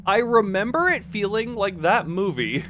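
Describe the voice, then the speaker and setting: A man with a fairly high, very animated voice gives casual commentary on a game stream. He rambles, restarts and rephrases as he chats to viewers, with noticeable noise behind him. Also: moderate pace. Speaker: live streamer playing a horror game.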